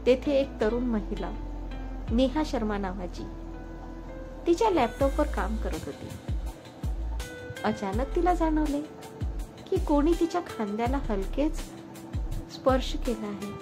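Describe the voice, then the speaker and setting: A woman's voice: a little high, expressive, unhurried, pauses often. A woman narrating a scripted story as a storyteller. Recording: music under the voice. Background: music.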